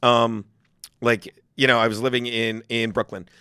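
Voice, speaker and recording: A man's voice: medium pitch, normal speed, clear, resonant tone, dry recording, clean studio sound. A man, a comedian telling stories in a casual podcast chat, laughing often and acting out quotes. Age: 50s. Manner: animated storyteller, laughs often, acts out quotes. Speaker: guest comedian.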